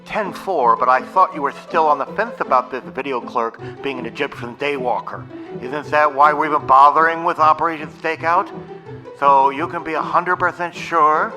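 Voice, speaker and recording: A man's voice: a little high, moderate pace, over music, close to an everyday voice, rough recording. An actor playing a pompous, bossy, nerdy boy mission commander in an audio drama, speaking in formal, wordy phrases. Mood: businesslike.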